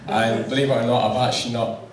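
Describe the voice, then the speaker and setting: A man with a husky voice giving an unprepared wedding thank-you speech as the groom, hesitant with many ums. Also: medium pitch, flat delivery, fast talker. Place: wedding reception hall with guests. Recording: echoey hall, distant mic, loud crowd.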